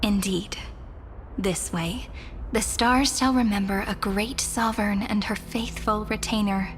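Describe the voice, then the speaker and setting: An actress playing a calm, formal female chronicler in a game. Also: mid-range voice, close to an everyday voice. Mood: solemn. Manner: slow, solemn and formal. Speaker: game character. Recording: game cutscene with music underneath.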